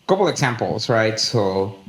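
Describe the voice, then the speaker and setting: A man's voice: fairly low pitch, big pitch swings, measured pace, slight background noise. A man from El Salvador speaking as a panelist at a conference, earnest and candid, often restarting and repeating his words. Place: conference room with live audience. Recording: roomy hall echo.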